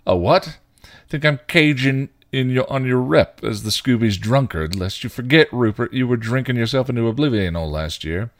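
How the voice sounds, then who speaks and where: A man voicing a bitter, guilt-ridden male vampire, rough and full of crude slang. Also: fairly low pitch, lively intonation, moderate pace, no echo, studio quality, natural voice. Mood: angry. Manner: snarling, rough, clipped slang. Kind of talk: fan fiction reading.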